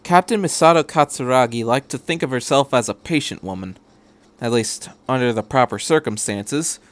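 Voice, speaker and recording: A man's voice: medium pitch, animated, normal speed. A man reading a story aloud as a podcast narrator, in scripted third-person prose.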